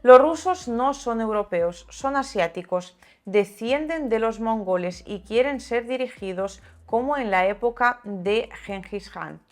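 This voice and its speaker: A woman's voice: on the high side, expressive, unhurried. A woman hosting a channel, giving opinionated, sarcastic political commentary in a conversational way, sometimes reading aloud. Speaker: video channel host and commentator. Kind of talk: political commentary to viewers, part read aloud.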